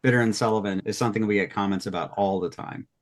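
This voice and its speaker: A man's voice: lowish voice, quick pace. A man hosting a group retrospective, chatting casually as he introduces people. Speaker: host of an audio drama cast retrospective.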